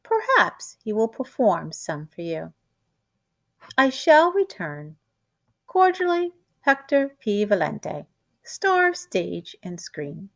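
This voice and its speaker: A woman's voice: medium pitch, wildly swooping pitch, slow pace, gravelly, heavily acted. A woman voicing a courteous showman's grandly polite note in a storybook, with formal flourishes.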